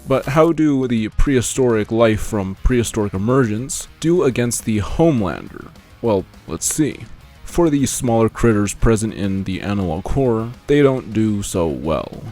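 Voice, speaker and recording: Male narrator, fairly low register, scripted explainer read over a light music bed. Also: animated, moderate pace, dark tone. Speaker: video essay narrator.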